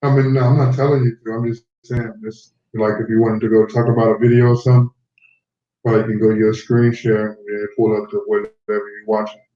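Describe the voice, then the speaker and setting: A man's voice: lowish voice, little pitch movement, a little fast. A man co-hosting a livestream, talking casually and helpfully. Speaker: livestream co-host. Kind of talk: casual chat. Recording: roomy, distant, lo-fi call audio.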